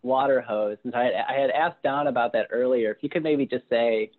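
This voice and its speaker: A man's voice: medium pitch, brisk. A man in his thirties asking casual, encouraging interview questions through an earbud headset, with muffled phone-like call audio. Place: plain room indoors. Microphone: earbud headset on a video call.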